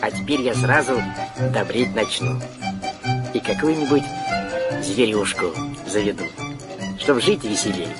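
A man's voice: mid-range voice, wildly swooping pitch, measured pace, pauses often, over loud music. An actor playing a mild, wistful cartoon postman who speaks in an apologetic, singsong way.

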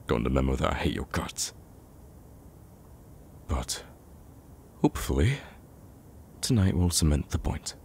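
An extremely low-pitched actor's take on a betrayed young man, bitter yet protective of an old friend. He speaks haltingly in an intimate, emotional roleplay style, with noticeable noise in the recording. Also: big pitch swings, vocal fry, darkish tone, heavily acted. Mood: bitter, resentful. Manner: halting, stammering, sighing. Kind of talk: emotional ASMR roleplay acting.

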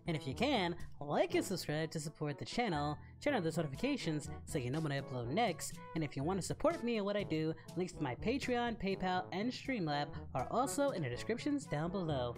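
Guy doing a cheeky horny anime fighter reacting to videos, high voice jumping all over, music behind. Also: fairly quick, few pauses. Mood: upbeat, welcoming. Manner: excitable, bawdy, exclaiming. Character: excitable, lecherous, cheerful male Saiyan warrior from anime parody. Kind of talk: casual reaction commentary in character.

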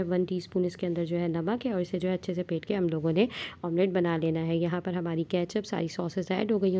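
A woman hosting a home cooking channel, talking viewers through a recipe step by step in a steady voice-over with frequent fillers. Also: lowish voice. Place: home kitchen.

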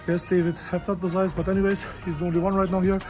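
A man's voice: sits high, flat delivery, fast talker, music in the background. A man in his thirties vlogging casually from an open-air Muay Thai gym, blunt and joking, in non-native English. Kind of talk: casual vlog talk.

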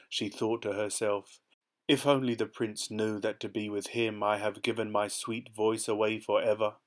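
A man calmly reading a fairy tale aloud from a storybook. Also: fairly low pitch. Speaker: storybook reader. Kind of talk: gentle read-aloud storytelling.

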